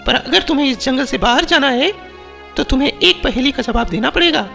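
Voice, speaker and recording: A performer voicing an imperious magical female tree fairy who sets riddles in an animated moral story. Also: high-pitched, brisk, creaky, full character voice. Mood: stately welcome. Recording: long reverb, music bed.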